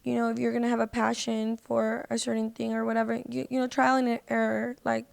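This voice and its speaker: A woman's voice: a little high, completely flat delivery, brisk, creaky, no echo. A woman chatting casually as a podcast guest, in an informal, slangy way. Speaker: podcast guest, singer and social media creator.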